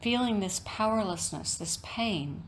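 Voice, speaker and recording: Woman yoga teacher doing guided meditation, really slow n deliberate, lots of pauses, bit of background noise. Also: medium pitch, expressive, poor audio. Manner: measured, deliberate phrasing with frequent pauses. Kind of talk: guided meditation talk, reflective and instructional.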